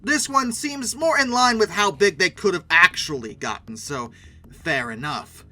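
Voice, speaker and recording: A man's voice: high-pitched, a little slow, bright, forceful tone, soft background music. A man giving wry, sarcastic film commentary as the host of a video channel, leaning emphatically on words.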